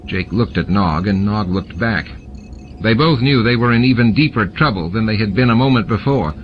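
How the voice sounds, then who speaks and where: A man's voice: lowish voice, expressive, normal speed. A man narrating a scripted story as an audiobook reader. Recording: muffled, with clear background noise. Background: noise and ambient sound effects.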